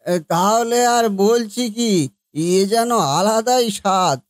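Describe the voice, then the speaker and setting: A man's voice: very high-pitched, slow pace, no echo, studio quality. A man voicing a kindly, protective older father-in-law in a family comedy cartoon, insistent and full of little vocal tics. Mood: approving, coaxing.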